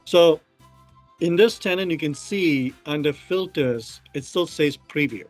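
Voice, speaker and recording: A man's voice: fairly high pitch, measured pace, soft background music. A man from Malaysia co-hosting a casual tech show, talking loosely with many fillers while demoing his screen over a video call. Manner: chatty, many fillers, laughs easily.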